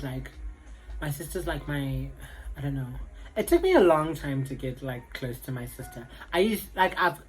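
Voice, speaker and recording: A man's voice: a little high, poor audio. A young man with a South African accent, chatting casually to camera in a rambling way full of fillers. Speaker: vlogger.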